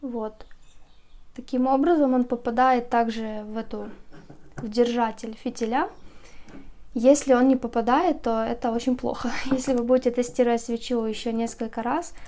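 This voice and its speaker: A young woman vlogging a candle-making how-to early in the morning, chatty and just woken up, with a slightly rough voice. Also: fairly high pitch, fairly even intonation, moderate pace. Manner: chatty, sleepy early-morning talk with soft laughs. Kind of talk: casual how-to vlog.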